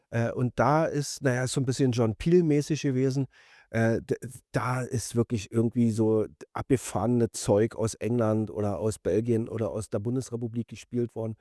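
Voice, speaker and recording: A man in his fifties from East Germany, reflecting and commenting in an interview, halting yet emphatic as he piles up rephrasings. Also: fairly low pitch, dark tone, dry recording, clean studio sound. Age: 50s.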